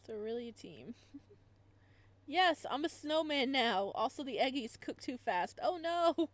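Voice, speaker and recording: Female game streamer, higher-pitched, giggly and playful, casual live chat delivery over a visual novel playthrough. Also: expressive, measured pace, dry recording. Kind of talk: casual livestream chat while reading a visual novel aloud.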